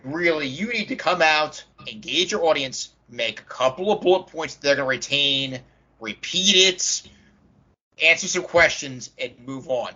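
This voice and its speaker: A man's voice: fairly high pitch, animated, moderate pace, pushed and bright, muffled recording. A man in casual, opinionated chat as a podcast co-host about tabletop games, direct and emphatic when giving advice.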